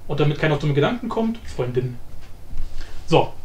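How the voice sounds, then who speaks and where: High-pitched, animated man in an echoey room. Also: normal speed. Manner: informal, thinking aloud, repeating himself. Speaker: tutorial host. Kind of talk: casual unscripted software tutorial. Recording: roomy echo with faint background noise.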